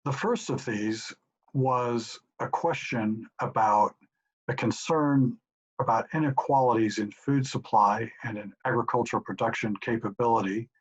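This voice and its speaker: A middle-aged professor calmly explaining his answers to questions sent in by an audience. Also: medium pitch, breathy edge. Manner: measured, explanatory. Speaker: professor and institute director. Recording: dull lo-fi home recording.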